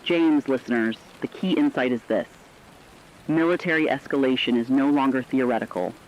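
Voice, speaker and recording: A man's voice: mid-range voice, moderate pace, close to an everyday voice. Slightly muffled, sober actor as male war analyst. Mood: sober, warning. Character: serious radio war correspondent giving sober strategic analysis. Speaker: news analyst. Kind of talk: scripted emergency radio news broadcast.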